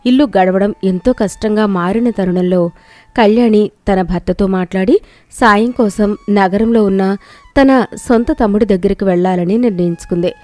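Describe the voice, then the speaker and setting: A woman's voice: mid-range voice, normal speed, mellow, no room sound. A woman storyteller delivering scripted narration of a village moral tale. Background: music.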